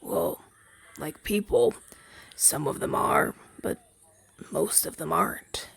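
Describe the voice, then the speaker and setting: Male actor for soft, hesitant demon familiar, ASMR roleplay. Wistful and gentle, very gravelly and husky. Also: a little high, wildly swooping pitch, heavily acted. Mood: resigned. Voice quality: breathy, husky half-whisper. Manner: hesitant, soft-spoken, halting and conversational. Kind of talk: ASMR roleplay audio drama, softly spoken to listener.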